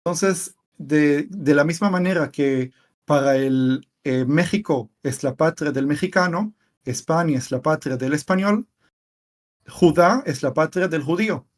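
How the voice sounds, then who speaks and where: Slow, halting man telling his story. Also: fairly high pitch. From Israel. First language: Hebrew. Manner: halting, with many fillers and repeated words. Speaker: interview guest. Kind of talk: personal testimony in conversation.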